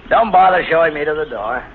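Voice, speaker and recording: Casting: probing, folksy detective for old radio mystery, higher-set male voice, very animated pitch. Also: normal speed, vocal fry. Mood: casually probing. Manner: casual, needling, with hesitant ums. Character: folksy, sly, probing police lieutenant. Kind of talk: 1950s radio drama acting. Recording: muffled old radio recording, faint noise.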